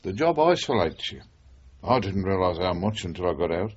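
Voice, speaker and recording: Avuncular British ex-detective, husky, animated intonation, persuasive, radio play, dull broadcast sound. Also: male voice, sits low, normal speed, full character voice. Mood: earnest, persuasive. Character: frank, concerned former policeman advising a younger friend. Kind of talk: 1980s British radio drama acting.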